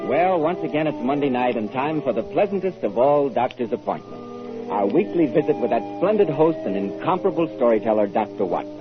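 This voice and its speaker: A man announcing and hosting a 1940s radio show, with an emphatic, polished salesman's delivery and a husky voice. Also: mid-range voice, animated, brisk, music in the background. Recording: muffled old 1940s radio recording.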